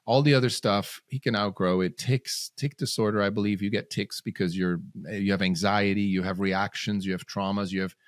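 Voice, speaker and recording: A man's voice: lowish voice, fairly even intonation, moderate pace, few pauses, dry recording, clean studio sound. A man, a podcast guest telling his story in bursts, with sudden rises and restarted phrases. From Switzerland. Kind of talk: casual storytelling interview.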